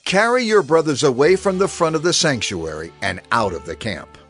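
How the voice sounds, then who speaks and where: A man's voice: fairly high pitch, sing-song, normal speed, a bit bright, slightly rough, exaggerated voice. An actor playing a commanding male prophet in a dramatized scripture reading, solemn and authoritative. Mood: stern, urgent. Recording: background music under the voice.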